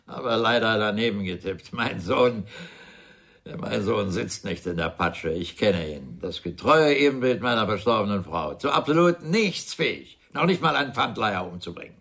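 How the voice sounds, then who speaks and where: A man's voice: on the low side, animated, moderate pace, a bit bright. An actor playing a bitter, sarcastic older father who blusters scornfully in a German radio crime drama. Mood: indignant, scornful. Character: sardonic, bitter, well-off father, widowed businessman.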